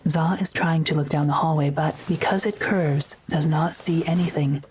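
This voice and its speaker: A woman's voice: low voice, steady tone, moderate pace, a little mellow, slight background noise. A woman reading scripted narration for an audio drama, describing the action as narrator.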